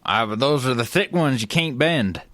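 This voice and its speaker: Man playing a blustering, animated old-west cowboy. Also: medium pitch, normal speed, no room sound. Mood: defiant boasting. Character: boastful, folksy old-west cowboy tool owner in a rivalry. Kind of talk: improvised comedy radio skit.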